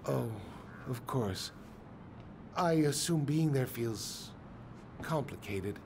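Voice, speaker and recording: An actor playing a courteous, chatty, slightly awkward middle-aged shopkeeper in a video game. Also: male voice, medium pitch, animated, takes it slowly, gravelly, noisy background. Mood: awkward, polite. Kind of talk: naturalistic video game acting.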